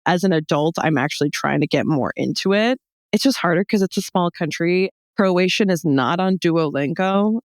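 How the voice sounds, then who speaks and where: A young American woman with a slightly low, clean voice, recorded close and dry. She talks like a podcast guest in casual chat, animated and joking as she spins anecdotes and slips into quoted dialogue. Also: moderate pace, dry recording. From Texas, United States. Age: young adult. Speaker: podcast guest, comedy show founder.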